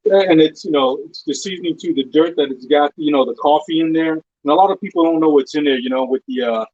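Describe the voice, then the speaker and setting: A man's voice: fairly high pitch, fast, darkish tone, no echo. A man cooking on a live show, informally talking through each step as he does it. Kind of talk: casual cooking demonstration chat. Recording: dull lo-fi stream audio, dry.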